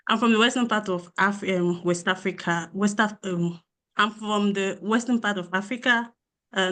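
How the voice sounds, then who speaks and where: A woman's voice: medium pitch, fairly bright tone. A young woman from Nigeria, a graduate student, introducing herself on a webinar panel with many ums and restarts.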